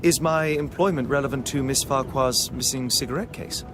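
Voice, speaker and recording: An actor playing a proper, earnest gentleman in a mystery game, polite but easily flustered. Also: mid-range voice, a little fast, noisy background, close to an everyday voice. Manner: earnest, polite, hesitant under questioning. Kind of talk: video game voice acting.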